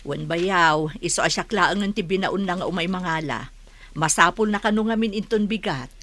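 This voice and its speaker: A woman's voice: on the low side, normal speed, a bit bright, natural voice. A woman playing a sociable village aunt and mother in a radio drama. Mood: friendly. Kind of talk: Ilocano radio drama acting.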